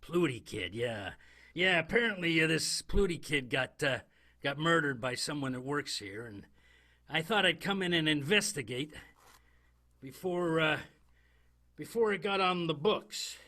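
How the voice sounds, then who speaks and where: An actor playing a wistful 1920s New York police detective in an improvised comic radio play, with halting, exclamatory speech. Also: male voice, on the high side, expressive, bright, forceful tone, heavily acted. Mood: earnest, hesitant. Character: male 1920s NYPD detective, wistful war veteran.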